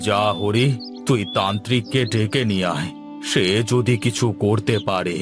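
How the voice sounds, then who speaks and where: A man's voice: lowish voice, normal speed, over music, natural voice. A man voicing a protective, indulgent middle-aged father in a cartoon story. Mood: grave. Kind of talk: cartoon voice acting.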